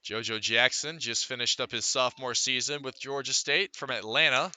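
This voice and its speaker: An American man calling baseball live as a radio play-by-play announcer, reciting statistics between pitch calls. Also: moderate pace, sharp tone. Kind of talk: live sports commentary.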